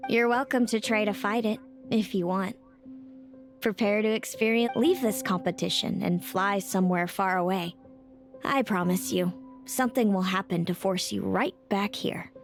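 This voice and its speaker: Game music sits behind a composed young seeress played by a woman. Her voice is medium in pitch, with a formal, self-assured and fatalistic manner suited to a proud video game oracle. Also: normal speed, natural voice. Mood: confident, solemn.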